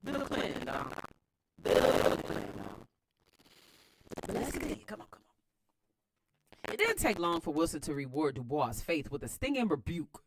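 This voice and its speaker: An American woman reading a book aloud and adding informal, slangy commentary in an expressive manner. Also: deep voice, normal speed, gravelly, pauses often, no room sound, poor audio. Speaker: host of a read-aloud series. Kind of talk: book read-aloud with casual running commentary.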